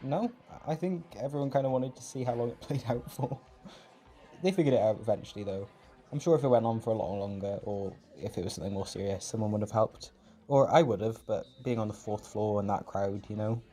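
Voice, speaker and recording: A man playing a gentle, protective caretaker in a roleplay comfort audio, speaking in a soft, reassuring, tender way. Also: on the low side, fairly quick, close to an everyday voice. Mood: casual, amused. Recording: music and party chatter behind.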